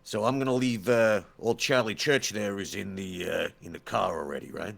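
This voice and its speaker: A man with a lowish, gravelly voice chats at the game table. He speaks casually as a player describing his moves in a roleplaying game. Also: normal speed, fairly bright tone.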